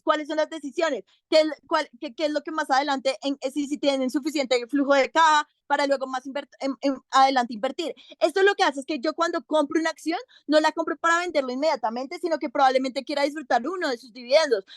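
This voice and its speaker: A woman's voice: high-pitched, dry recording, studio quality. A young woman giving a competitive debate speech, emphatic and counting her points off one by one. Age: young adult.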